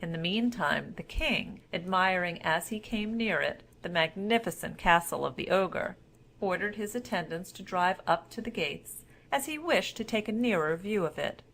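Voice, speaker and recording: A woman's voice: on the low side, expressive, normal speed, creaky, fairly bright tone. Formal woman reading a fairy tale. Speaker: storyteller. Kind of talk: scripted fairy-tale narration.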